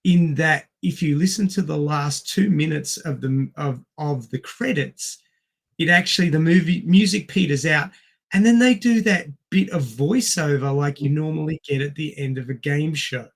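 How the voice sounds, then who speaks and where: A man talks through a film in a casual review style. His fairly high voice has a lot of gravelly creak and sounds strained. He goes haltingly, thinking aloud as he speaks. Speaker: film podcast host. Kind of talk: casual movie review chat.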